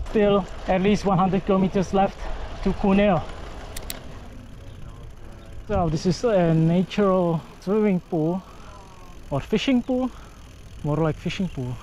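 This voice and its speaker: A man's voice: sits high, background noise. A young man vlogging a cycling trip, chatting casually to an action camera on his handlebars and while walking through a supermarket, in plainspoken English. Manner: chatty, plainspoken, non-native English phrasing. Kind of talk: casual travel vlog with voice-over narration. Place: outdoors on a bike path and inside a supermarket. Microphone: action camera on the handlebars and handheld.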